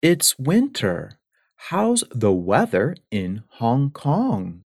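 Man, exam reader, very slow, animated pitch, carefully spaced words. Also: creaky, dry recording, clean studio sound. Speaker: listening test reader. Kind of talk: slow, deliberate exam reading.

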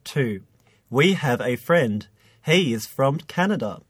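A man's voice: medium pitch, animated, takes it slowly, breathy edge, no room sound, clean studio sound. A man reading scripted English listening exercises with careful enunciation, as on a language-lesson recording. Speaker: English listening test reader.

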